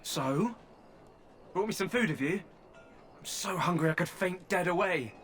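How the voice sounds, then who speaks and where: A man's voice: high voice, lively intonation, unhurried, a bit bright, soft background music. A man voicing a hungry, caged deserter in a video game, wry and wheedling, with cheeky flirting.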